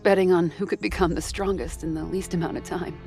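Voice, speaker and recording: A woman's voice: lowish voice, steady tone, brisk, close to an everyday voice. A woman playing a wounded, proud and tender motherly fighter in an anime audio drama. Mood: solemn, resolute. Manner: solemn, emotional delivery. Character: wounded, tough, motherly squad commander's comrade, proud and tender. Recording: music under the voice.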